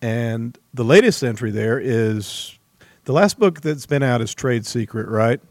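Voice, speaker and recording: A man hosting an interview, introducing and asking questions in a somewhat hesitant, halting manner. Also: medium pitch, expressive, no room sound, studio quality. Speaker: interview host. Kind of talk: book podcast interview.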